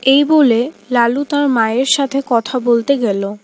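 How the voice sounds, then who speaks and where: A woman narrates a cartoon folk tale in a high voice. Her delivery is scripted storybook narration, with faint music underneath. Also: unhurried.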